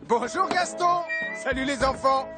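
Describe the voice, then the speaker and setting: Pompier aimable, voix très haute. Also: male voice, very high voice, a little slow, music in the background. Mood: upbeat, friendly. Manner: polite, earnest, friendly. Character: friendly, dutiful, upbeat young male firefighter uncle. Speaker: firefighter. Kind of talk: animated children's cartoon dub.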